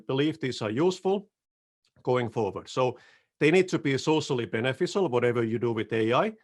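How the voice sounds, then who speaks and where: Finnish-accented middle-aged male presenter, easygoing and wry, headset mic on a video call, occasional throat clearing. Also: medium pitch. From Finland. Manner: relaxed, self-deprecating, occasional restarts and throat clearing. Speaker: solutions architect presenting. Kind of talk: conference talk over video call.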